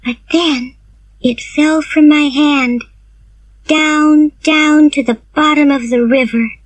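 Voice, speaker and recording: A woman voicing an eager young orphan girl who talks in a high, earnest, pleading way. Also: fairly even intonation, slow, no echo, far from the mic, poor audio. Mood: confessing.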